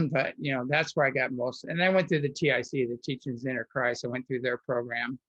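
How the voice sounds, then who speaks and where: A man's voice: mid-range voice, steady tone, a little fast, fairly bright tone, no echo. Rambling man on a dull, dry recording. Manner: rambling, with frequent restarts and filler words. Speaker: guest. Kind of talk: personal storytelling in an interview.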